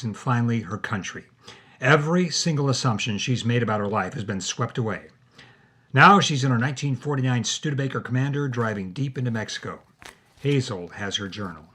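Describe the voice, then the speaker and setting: A man's voice: medium pitch, a bit bright, slightly rough. A man hosting and narrating a scripted podcast as dramatic storytelling. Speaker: host and narrator.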